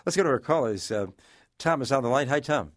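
A man hosting a radio call-in show, asking long measured questions. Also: mid-range voice, lively intonation, no room sound, clean studio sound. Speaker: radio talk show host.

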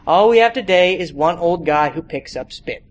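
A sarcastic cop acted out by a man reading a story needles his colleague in mocking tones. The voice is pitched fairly high. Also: expressive, moderate pace, close to an everyday voice.

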